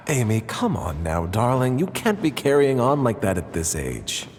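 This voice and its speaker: A man's voice: mid-range voice, very animated, measured pace, slight background noise. Weary father in an echoing hall. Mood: weary, chiding. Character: weary middle-aged father, mildly disapproving.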